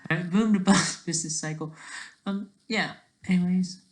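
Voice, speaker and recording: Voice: mid-range voice, normal speed. A person giving rambling, off-the-cuff commentary as an amateur creator, often breaking off mid-sentence and tagging statements with "right?".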